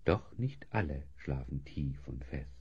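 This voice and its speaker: A man's voice: extremely low pitch, expressive, unhurried, a little mellow, breathy edge, muffled recording. A man narrating a fantasy audio drama in a scripted storytelling style.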